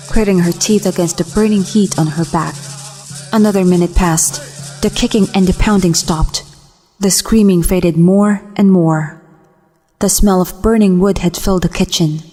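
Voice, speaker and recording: Woman narrator for horror fiction: low, dark voice, suspenseful delivery, music underneath. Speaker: voice actor. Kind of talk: dramatic short story reading, horror. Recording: long reverb, music underneath.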